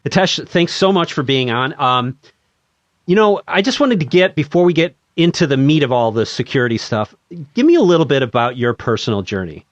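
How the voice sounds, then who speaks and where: A man's voice: mid-range voice, lively intonation, fairly quick, no room sound, muffled recording. A man hosting an interview podcast, introducing and questioning his guest. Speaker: podcast host.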